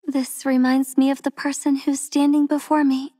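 A woman voicing a gentle, devoted young woman soldier in a game, speaking softly and tenderly with frequent breathy whispers, recorded on a close studio mic. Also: high-pitched, little pitch movement, moderate pace, no echo, lightly acted. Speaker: voice actress for a game character. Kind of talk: game character voice lines.

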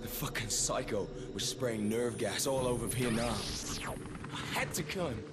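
An actor playing a tormented, volatile soldier under interrogation in a video game, agitated, profane and halting. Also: male voice, mid-range voice, heavy background noise, natural voice. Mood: haunted. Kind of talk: dramatic video game acting. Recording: loud music under the voice.

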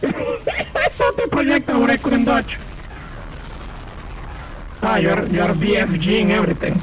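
A man's voice: sits high, big pitch swings, measured pace, background noise. A man with a Venezuelan accent from Maracaibo, a native Spanish speaker, chatting casually while streaming a shooter game with a friend, laughing often and calling out enemies. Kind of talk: casual co-op gaming chat. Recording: game music playing loudly behind. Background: game music.

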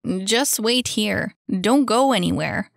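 A woman's voice: mid-range voice, lively intonation, slow, creaky, dry recording, studio quality. A woman voicing a cocky, mischievous young boy in a storybook, with smug, slangy, laid-back wisecracks. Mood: brisk, bossy.

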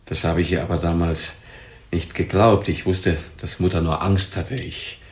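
A man acting in a radio drama as a brooding, hesitant son smothered by his mother, speaking in a halting, subdued way. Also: sits low, steady tone, roomy sound, natural voice.

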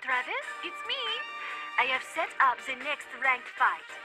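A woman's voice: high-pitched, big pitch swings, a little slow, loud music behind the voice. An actress playing a flirty, flippant female agent for an assassins' association in a video game, breezy and teasing. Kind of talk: video game cutscene acting.

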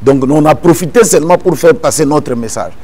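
A middle-aged man born in Kinshasa, a gospel singer and pastor, calmly explaining events in a sit-down interview and retelling them with repeated phrases. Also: on the high side, brisk. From born in Kinshasa, Congo. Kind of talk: calm explanatory interview answers. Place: indoor room with potted plants.

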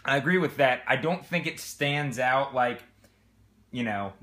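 A man's voice: medium pitch, a little slow, bright, forceful tone. Man, young adult, laid-back, casual swearing, beer review chat, home living room, camera on the table.

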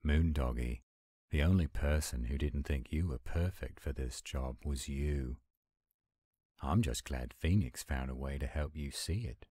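A man playing a gruff, weary but kind werewolf pack leader, measured and plain-spoken, in an audio roleplay. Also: extremely low pitch, warm tone, dry recording, studio quality, exaggerated voice. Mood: earnest.

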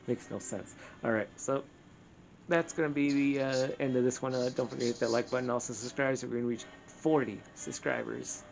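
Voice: mid-range voice, steady tone, moderate pace, creaky, low-quality recording. A gaming host giving casual let's play commentary, grumbling in exasperation with mild swearing. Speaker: gaming channel host playing the game. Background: video game music.

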